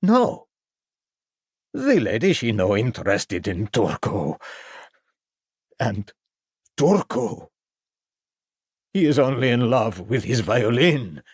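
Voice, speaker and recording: A man's voice: fairly low pitch, steady tone, takes it slowly, gravelly, hardly any pauses, fairly bright tone, clean tone, dry recording, studio quality, heavily acted. A man voicing an anxious foreign street violinist who speaks in broken English and refers to himself by name. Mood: pleading. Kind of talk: audiobook character voice.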